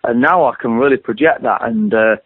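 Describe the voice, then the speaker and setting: A middle-aged man with a deep voice, a singer-songwriter rambling through an interview with many restarts and repeated words. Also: brisk, husky, no room sound. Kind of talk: casual interview talk. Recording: dry tinny phone line.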